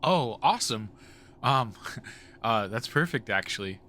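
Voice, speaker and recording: A man's voice: medium pitch, animated, unhurried, sharp tone, a little hiss or hum. A man playing a breezy, talkative reporter in a radio drama, speaking in a casual, rambling way. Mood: hesitant, pleased.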